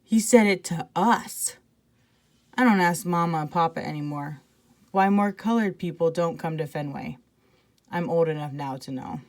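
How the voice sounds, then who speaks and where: A woman's voice: lowish voice, normal speed. A woman teacher reading a children's picture book aloud expressively, with casual asides to her listeners.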